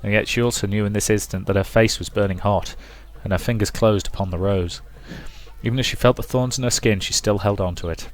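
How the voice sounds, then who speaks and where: A man's voice: lowish voice, steady tone, a little fast. A man reading a visual novel aloud as dramatic story narration. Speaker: let's play narrator.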